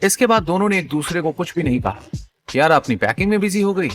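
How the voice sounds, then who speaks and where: A man's voice: sits high, lively intonation, fast, slight background noise. A man narrating a scripted audio story in a dramatic storytelling style. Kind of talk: dramatic scripted story narration, also voicing a young husband.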